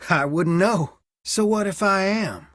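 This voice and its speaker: An actor playing a weary, guarded young fiancé with a wry, tired manner in a scripted video game. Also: male voice, high-pitched, a little slow, a bit bright, dry recording, clean studio sound. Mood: subdued, reflective. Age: young adult.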